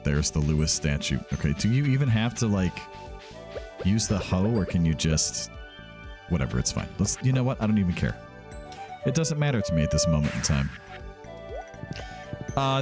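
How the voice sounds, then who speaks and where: A man hosting a gaming let's play in a casual, rambling, self-deprecating way, stopping and restarting as he goes. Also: lowish voice. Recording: music behind the voice. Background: background music.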